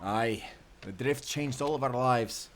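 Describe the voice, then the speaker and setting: A man's voice: medium pitch, a little slow, a little hiss or hum, close to an everyday voice. A man playing a hearty, friendly timberman turned sailor who talks in folksy, encouraging old-time seafaring speech in a nautical audio drama. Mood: warm, reflective. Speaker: sailor guiding a newcomer.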